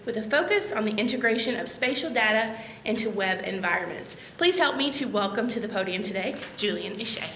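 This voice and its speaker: A woman's voice: a little high, fairly bright tone, a little hiss or hum. A woman in her thirties, a library manager, welcoming an audience and reading a speaker's introduction at a podium, friendly with some fillers. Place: library meeting room. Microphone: podium microphone. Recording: echoey room.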